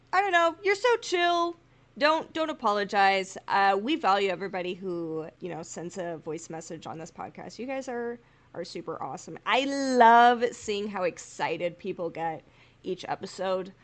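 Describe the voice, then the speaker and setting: A woman's voice: mid-range voice, animated, unhurried. A woman co-hosting a podcast in casual, encouraging chat. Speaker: podcast co-host.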